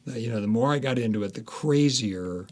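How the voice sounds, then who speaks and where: A man speaking as an interviewed author, telling stories conversationally with animated retellings and quoted asides. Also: mid-range voice, a little fast.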